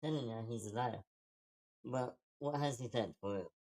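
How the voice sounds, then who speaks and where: A man's voice: mid-range voice, moderate pace, a little mellow, lightly acted. A man playing a self-deprecating, anxious young man in a casual screenplay table read. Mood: awkward, guarded.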